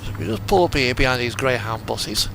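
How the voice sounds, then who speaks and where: A man giving casual, unhurried gameplay commentary, thinking aloud as he goes. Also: medium pitch, expressive, creaky, a bit bright, a little hiss or hum. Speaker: video game player and host.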